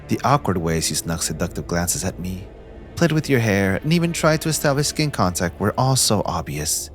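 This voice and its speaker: A man playing a possessive, manipulative young mafia heir in an audio roleplay, condescending and smoothly taunting with theatrical politeness. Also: on the low side. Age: young adult. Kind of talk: scripted ASMR audio roleplay addressed to the listener.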